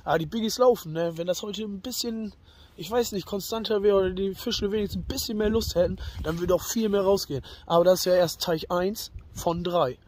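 Man, high voice, casual vlog host, colloquial, chummy address to viewers, faint ambient noise. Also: a little fast.

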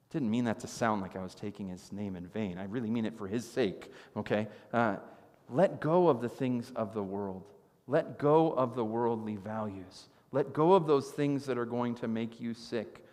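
A man in his thirties preaching a sermon from a church stage, telling stories with animated gestures. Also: mid-range voice. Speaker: pastor. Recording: long reverb of a church hall.

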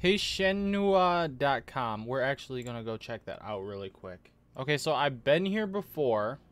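A young man giving casual, excitable reaction commentary as a gaming streamer, often repeating words. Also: mid-range voice, normal speed, clean tone, dry recording. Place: small cluttered gaming room at home. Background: game trailer music.

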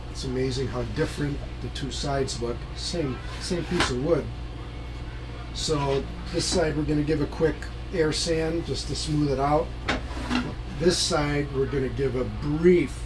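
A man's voice: a little high, a little slow. An older man casually explaining hands-on work in a plain-spoken, practical way. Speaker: woodworking demonstrator. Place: small home workshop shed with open door. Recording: clear background noise, workshop hum.

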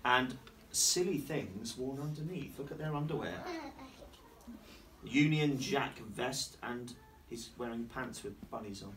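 A middle-aged headmaster reading a picture book aloud in a classroom, playfully asking the children questions and slowing down on the rhymes. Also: male voice, medium pitch, lively intonation, a bit bright. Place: primary school classroom, indoors. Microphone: camera across the room. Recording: distant camera, noisy classroom.

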